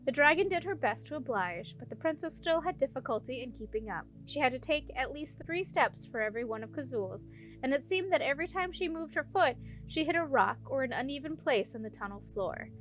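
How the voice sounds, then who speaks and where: A woman with a high-pitched voice narrates a children's fantasy audiobook. She reads from a script in storybook style, with faint music underneath. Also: expressive, a little fast, fairly bright tone. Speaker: audiobook reader.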